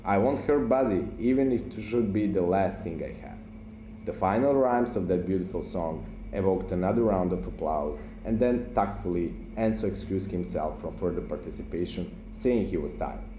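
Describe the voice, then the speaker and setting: Low-voiced man reading prose in an echoey room. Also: animated, normal speed. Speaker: prose writer reading aloud. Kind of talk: reading prose fiction aloud. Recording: echoey room with faint noise.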